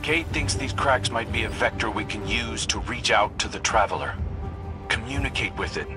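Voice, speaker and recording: A serious, steady scout played by a man talks in a fairly low voice. The delivery is measured and thoughtful, in video game style, over loud music. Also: natural voice. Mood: serious, intent.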